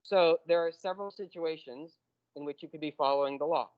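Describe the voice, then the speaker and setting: A man teaching a university math class, explaining step by step and slowing down on key terms. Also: on the high side, dry recording, lo-fi sound. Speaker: math lecturer. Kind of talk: classroom lecture.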